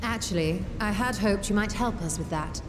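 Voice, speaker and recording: A woman's voice: medium pitch, normal speed, music in the background, natural voice. A woman playing an eager, scholarly young adventurer with formal, articulate phrasing in a video game cutscene. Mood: earnest, businesslike.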